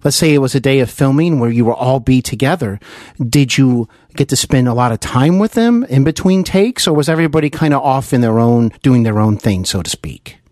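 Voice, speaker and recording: American man interviewing, lively intonation. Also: mid-range voice, brisk, dry recording, studio quality. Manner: admiring, earnest. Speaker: interview host. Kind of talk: conversational radio-style interview.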